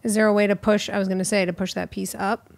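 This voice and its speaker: A woman in her thirties, a podcast guest, chatting in a lively, teasing way, seated in a living room at a boom-arm studio mic. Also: fast, creaky, dry recording. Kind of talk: casual chat. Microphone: studio mic on boom arm, headphones.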